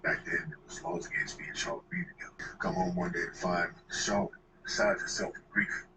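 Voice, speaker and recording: An actor playing a grim middle-aged man who confesses a hidden past and warns others off in a low, somber way, heard as film audio played back. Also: very animated, fairly quick. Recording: distant film audio with background noise.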